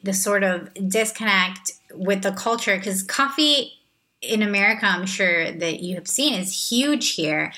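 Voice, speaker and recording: A woman hosts a food podcast with a cheerful, enthusiastic delivery. Her voice is bright and clear, recorded close with no room sound at all. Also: mid-range voice, no echo. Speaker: podcast host. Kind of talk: scripted intro then interview.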